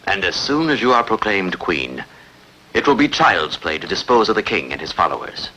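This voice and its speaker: An actor playing an ambitious, scheming rebel usurper in a dubbed historical epic, with a commanding, menacing, declamatory delivery. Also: male voice, low voice, very animated, moderate pace, sharp tone, a little hiss or hum, full character voice, poor audio. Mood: coldly scheming. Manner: declamatory, imperious.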